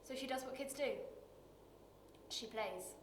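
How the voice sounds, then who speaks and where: A woman acting a dramatic screen monologue as a bitter, wounded working-class woman, confessional and halting, with weighted pauses. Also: high-pitched. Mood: wry, resigned. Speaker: actor performing a monologue. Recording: roomy echo with light background noise.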